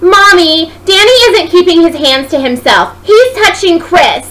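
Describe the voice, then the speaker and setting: A woman's voice: extremely high pitch, animated, a little slow, a bit bright, voice pushed up, exaggerated voice. A woman voicing a bold, bossy little girl who tattles, in a story reading. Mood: tattling. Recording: noisy home recording.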